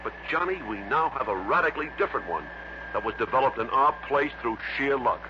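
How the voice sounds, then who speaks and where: A man's voice: medium pitch, expressive, fairly quick, natural voice. An actor playing a genial, chatty but slightly guarded American businessman in a 1960s radio drama, hearty and conversational. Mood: confiding. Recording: muffled, noisy vintage radio broadcast.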